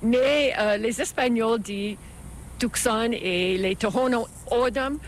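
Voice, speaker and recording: A woman's voice: on the high side, takes it slowly, rough recording. An older woman from Canada, a local guide, chatting casually as an interview guest. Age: older, retired. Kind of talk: casual chat. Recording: hissy location recording with clear noise.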